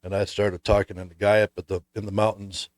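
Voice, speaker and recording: An American man hosting a relaxed, casual conversation about his hobby. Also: lowish voice, fast, no room sound. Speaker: host.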